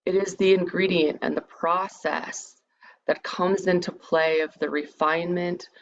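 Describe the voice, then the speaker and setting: A woman leading a guided meditation in a soothing, measured, invitational manner. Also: lowish voice, fairly even intonation. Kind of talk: guided meditation, part improvised, part read lecture. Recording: dull, lo-fi sound with background noise.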